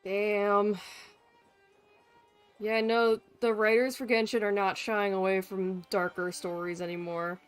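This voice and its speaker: A woman live-streaming a game with casual, expressive and sweary commentary, sometimes reading text aloud. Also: mid-range voice, slow pace, clear, resonant tone. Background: faint game music.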